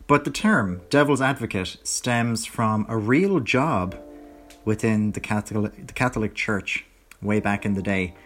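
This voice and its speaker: Hoarse man chatting casually. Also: on the low side. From Ireland. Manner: rambling, self-correcting, chatty. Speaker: podcast host. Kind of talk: casual solo podcast chat.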